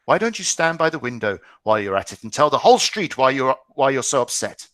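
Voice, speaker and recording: A man's voice: mid-range voice, big pitch swings, fast talker, no echo, clean studio sound, pitched above the performer's own voice. A man reading aloud, voicing a defiant teenage boy who snaps back at his father. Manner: sharp, retorting.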